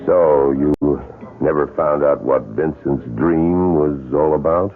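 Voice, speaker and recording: An actor playing an inquiring, ominous host of an old-time radio mystery, with a gravelly voice. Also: male voice, extremely low pitch, fairly even intonation, measured pace. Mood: probing. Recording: muffled old radio, music underneath.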